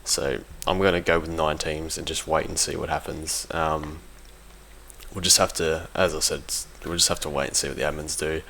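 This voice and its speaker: Low, flat-toned young-sounding gamer guy, bright edge. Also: normal speed, gravelly, a little hiss or hum. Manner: hesitant, informal, self-correcting. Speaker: competitive gaming team member giving an audio log. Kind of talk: casual unscripted commentary.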